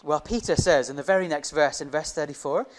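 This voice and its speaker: Higher-voiced man preaching conversationally. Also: a little fast. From Indonesia. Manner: conversational, rhetorical questions to listeners. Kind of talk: sermon.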